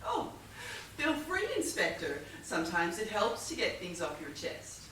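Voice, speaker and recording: A woman's voice: lowish voice, big pitch swings, unhurried, a bit bright. An actress on stage playing a wealthy, scatterbrained middle-aged widow under suspicion, airy and theatrical in her evasive innocence. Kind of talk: stage comedy acting. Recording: echoey theatre, distant mic, faint noise.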